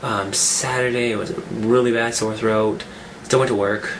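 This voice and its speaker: A man talking casually in a vlog, rambling and restarting his sentences, his voice rough from a cold. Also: mid-range voice, steady tone, moderate pace, noisy background. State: getting over a cold, rough voice. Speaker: fitness vlogger. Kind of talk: casual weekly update vlog.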